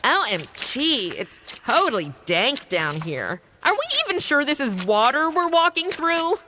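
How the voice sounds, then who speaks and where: A woman's voice: high voice, very animated, a little slow, in a large hall, background noise. An actress playing a vain, crude young woman with a bratty, slangy manner in a comedy audio drama. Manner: bratty, valley-girl slang.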